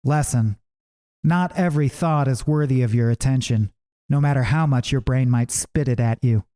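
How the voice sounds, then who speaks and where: Mellow, measured male audiobook narrator. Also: mid-range voice. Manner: measured, deliberate, with pauses. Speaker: author reading his own audiobook.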